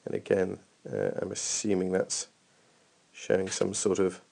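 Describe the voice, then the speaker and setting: A man's voice: sits low, very animated, a little slow, vocal fry, mellow. A man giving informal running technique feedback over a training video as a coach, thinking aloud in a hesitant way.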